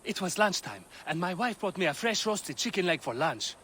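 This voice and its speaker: A timid, rambling labourer in a game cutscene. His high voice leaps about with nervous, stammering energy, comic in its cowardice. Faint ambience is behind it. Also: very animated, moderate pace, bright, forceful tone, a little hiss or hum, exaggerated voice. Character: jittery, cowardly, rambling male construction worker.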